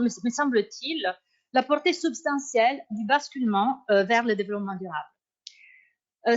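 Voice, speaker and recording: A woman with as her language, giving a formal academic conference talk and often pausing to search for words. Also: on the high side. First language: Italian. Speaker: university researcher. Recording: dull lo-fi sound.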